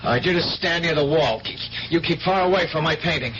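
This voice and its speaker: An American actor playing a tormented, nervous painter in a radio drama, stammering, defensive and agitated. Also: male voice, a little high, fairly quick, close to an everyday voice. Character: tormented, nervous, wary struggling painter in love. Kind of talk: 1970s radio drama acting. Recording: dull, hissy 1970s radio recording.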